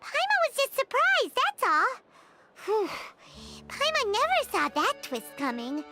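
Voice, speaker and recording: Super high squeaky little fairy girl, swoops up and down, music blaring behind. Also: measured pace, exaggerated voice. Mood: excited. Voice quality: high, bright childlike voice. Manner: speaks of herself in third person, excitable. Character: tiny floating female fairy companion, chirpy. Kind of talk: game voice acting. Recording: game audio under music.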